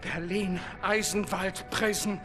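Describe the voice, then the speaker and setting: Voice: mid-range voice, fairly even intonation, slow pace, music in the background, natural voice. An actor playing a frantic, spiteful enemy prisoner under interrogation in a video game cutscene. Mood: spiteful, threatening. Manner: frantic, pleading then spiteful. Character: panicked, defiant enemy prisoner under interrogation, screaming threats.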